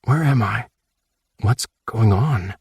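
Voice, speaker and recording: A man voicing an earnest, gentle teenage boy who speaks softly. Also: fairly low pitch, slow pace, slightly rough, no echo, clean studio sound, full character voice. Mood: earnest, tender.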